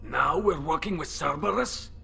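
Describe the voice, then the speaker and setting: A man voicing a hostile, suspicious alien soldier in a video game, gravelly and bluntly accusing. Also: a little high, lively intonation, moderate pace, sharp tone, faint music behind, full character voice. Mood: angry, accusing.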